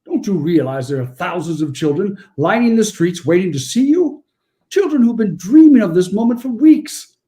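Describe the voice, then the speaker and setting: Proper old gentleman, formal and huffy, high voice that swoops around, old-time radio drama. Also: animated, normal speed. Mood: indignant. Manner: formal, scolding, indignant. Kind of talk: 1940s-style radio play acting.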